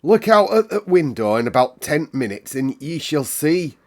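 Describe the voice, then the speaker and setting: A man voicing a brisk, bossy Yorkshire housekeeper in a storybook, with an emphatic, no-nonsense manner. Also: on the high side, big pitch swings, darkish tone, voice pushed up. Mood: matter-of-fact.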